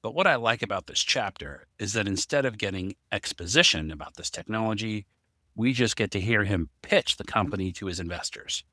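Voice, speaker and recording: A male podcast co-host in relaxed back-and-forth discussion. His voice sits fairly low yet cuts through brightly, with a dry, close recording. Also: brisk, no echo. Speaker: podcast co-host, story writer and amateur voice actor.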